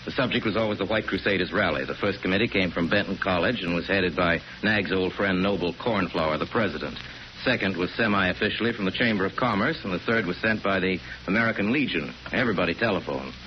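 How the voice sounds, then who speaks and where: A man narrating a 1940s radio drama as a wry, urbane storyteller with a measured, deliberate delivery. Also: deep voice, close to an everyday voice. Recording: muffled, hissy 1940s radio broadcast.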